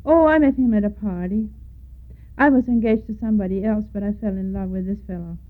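An older Danish woman tells her life story in a middle-pitched voice, pausing to spell names letter by letter. She reminisces plainly and at length. The recording is thin and tinny, like an old phone line. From Denmark. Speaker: interviewee. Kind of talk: oral history interview.

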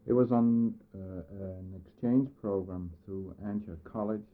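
Very low-voiced Danish man, hesitant. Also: fairly even intonation. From Denmark, southern Jutland. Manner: hesitant, pausing often with uh. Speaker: interviewee, schoolteacher. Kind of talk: oral history interview. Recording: narrow phone-like old tape.

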